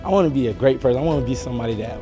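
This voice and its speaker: A man's voice: medium pitch, racing, music in the background. A twenty-year-old man, a college football player, speaking confidently in a documentary interview. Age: young adult. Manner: confident, boastful.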